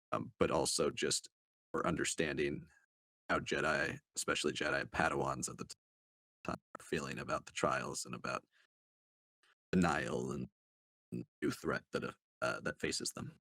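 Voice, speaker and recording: A man giving a casual book review as a panelist, speaking in a hesitant, halting way with trailing sentences. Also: rumbling low, fairly even intonation, a bit bright, dry recording. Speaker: podcast panelist reviewing books.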